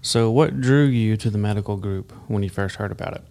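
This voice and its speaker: Man, podcast host, low voice, friendly, upbeat, studio interview. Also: brisk, a little mellow.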